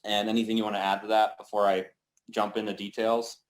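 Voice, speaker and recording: Guy in his 30s hosting a team call, lowish voice, upbeat, lots of you know and like. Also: little pitch movement, fairly quick. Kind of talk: casual motivational call.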